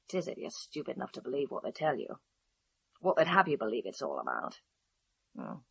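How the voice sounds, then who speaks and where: A woman's voice: deep voice, creaky, fairly bright tone, breathy edge, heavily acted. An actress playing an embittered, disillusioned middle-aged mother in a radio drama, theatrical and scornful. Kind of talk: British radio drama acting. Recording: dull, echoey radio play recording.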